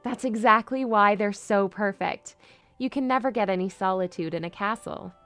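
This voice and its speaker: A woman's voice: medium pitch, normal speed, fairly bright tone, soft background music, lightly acted. A woman playing a spirited young princess in an audio drama, polite but bold in manner. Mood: friendly. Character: spirited young princess posing as a lady's maid. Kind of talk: fan audio drama acting.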